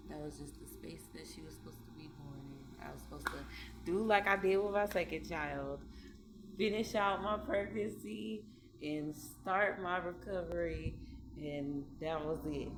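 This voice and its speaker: A woman in her late twenties talking through her thoughts in a loose, rambling way at home, repeating phrases and laughing softly between ideas. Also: sits low, creaky, noisy background. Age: 20s. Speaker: vlogger. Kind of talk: reflective casual monologue. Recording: echoey room with faint ambience.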